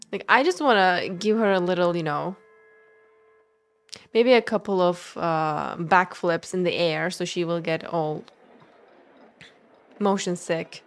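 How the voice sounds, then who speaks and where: A woman, a non-native English speaker, giving casual, expressive live game commentary and chatting playfully with viewers. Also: on the low side. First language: not English. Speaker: game streamer.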